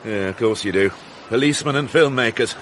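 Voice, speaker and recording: An actor playing a wry, courteous British police inspector with probing questions in a radio mystery drama. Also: male voice, medium pitch, a little fast, a bit bright, a little hiss or hum, lightly acted. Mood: dryly curious. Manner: courteous, dryly ironic, probing.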